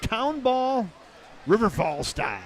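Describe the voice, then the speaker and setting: An older man doing play-by-play for a baseball game, chatty and folksy, with light jokes between pitches. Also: very animated, slow. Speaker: play-by-play announcer. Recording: crowd noise in the background.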